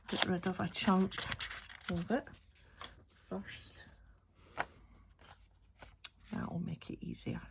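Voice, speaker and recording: A middle-aged Scottish woman, slightly croaky with a cold, chatting and thinking aloud as she narrates a casual craft tutorial. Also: medium pitch. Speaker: craft channel host. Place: indoor craft table. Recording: clearly audible background noise, handling clicks.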